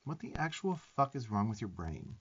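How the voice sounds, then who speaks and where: A man's voice: fairly low pitch, sing-song, moderate pace, breathy edge, lo-fi sound. A man voicing a sarcastic, bossy but affectionate little demon twink in a profane, emphatic ASMR roleplay. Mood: exasperated scolding. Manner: sassy, scolding, profane, emphatic.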